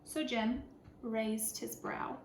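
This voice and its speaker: A woman, a first grade teacher, reads and talks to young children in an expressive, deliberate storytelling way. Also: fairly high pitch. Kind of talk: picture-book read-aloud for children. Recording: roomy echo, clear background noise.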